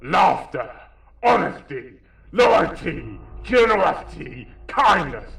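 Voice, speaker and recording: A resolute exorcist, acted by a male reader, intones solemnly in a horror tale. His delivery is very slow and forceful, with deliberate pauses, ringing with echo from a little distance. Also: a little high, very animated, gravelly, pitched above the performer's own voice, heavily acted. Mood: solemn chanting. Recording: roomy echo, distant from the mic.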